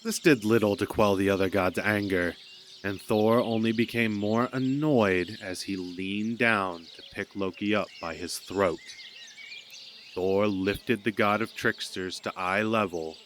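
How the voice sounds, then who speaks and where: A man's voice: lowish voice, a little slow, a bit bright. A man narrating a scripted audio drama as a storyteller. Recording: noticeable background noise.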